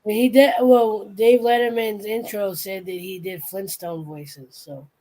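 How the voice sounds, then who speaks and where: Woman co-host, unhurried, rambling storyteller, casual chat. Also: medium pitch, fairly even intonation, mellow, rough recording. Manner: rambling storyteller, trails off and restarts. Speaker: podcast co-host.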